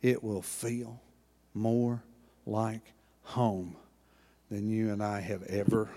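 A man with an Oklahoma accent preaching a sermon in a church, with a folksy manner, dropping low and quiet and then rising loud. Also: flat delivery, slow, big-room sound. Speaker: pastor.

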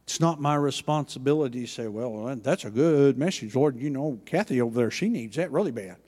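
A man with an Oklahoma accent preaching a sermon in a church, with a folksy manner, dropping low and quiet and then rising loud. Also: expressive, brisk, husky, in a large hall. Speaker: pastor.

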